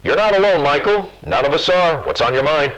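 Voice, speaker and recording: A man's voice: fairly high pitch, fairly quick, a bit bright, low-quality recording. A man playing a brooding, consoling late-night radio host, speaking with intimate, dramatic phrasing.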